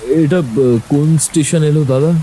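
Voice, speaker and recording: A man's voice: on the high side, moderate pace, mellow, a little hiss or hum, voice pushed up, lightly acted, rough recording. A man voicing an uneasy, complaining train passenger in an audio horror story, agitated and full of questions. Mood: hesitant.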